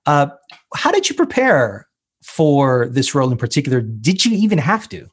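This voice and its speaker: A male interviewer asks long, winding questions at an ordinary pitch, setting up the context before he gets to the point. His tone stays casual and conversational. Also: sing-song, moderate pace, husky.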